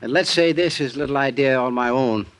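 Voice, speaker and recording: An actor playing a meticulous American insurance executive, polite and measured, in vintage radio drama style. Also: male voice, medium pitch, a bit bright, close to an everyday voice. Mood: guarded, confiding. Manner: measured, polite, hesitant when confiding. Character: courteous, meticulous, guarded male insurance company executive. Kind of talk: 1940s-50s radio acting.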